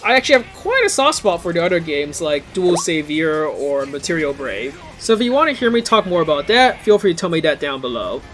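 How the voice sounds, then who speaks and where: High-pitched, animated young man narrating a review. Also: brisk, few pauses. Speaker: video game reviewer. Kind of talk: scripted review narration, casual. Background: background music.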